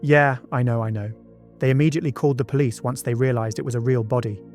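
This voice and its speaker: A man's voice: medium pitch, fairly even intonation, fast, a little mellow. A man narrating a true-crime documentary from a script, with conversational asides. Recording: music bed behind the voice. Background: background music.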